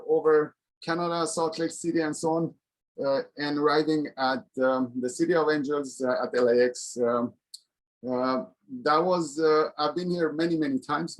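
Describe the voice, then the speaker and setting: A middle-aged man from Sweden, an engineer giving an online colloquium presentation over a video call, speaking in a halting, earnest way with many pauses. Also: a little high, rough recording.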